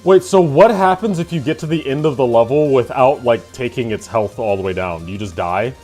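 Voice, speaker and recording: A man in his twenties chatting casually with his live-stream chat, laughing and swearing in quick asides. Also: medium pitch, a little mellow, soft background music. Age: 20s.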